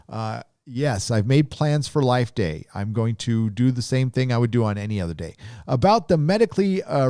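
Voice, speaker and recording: A man's voice: medium pitch, darkish tone, no room sound, clean studio sound. A man from Southern California with a Southern Californian drawl, hosting a live stream in rambling casual chat full of fillers. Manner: rambling, laid-back drawl with many fillers.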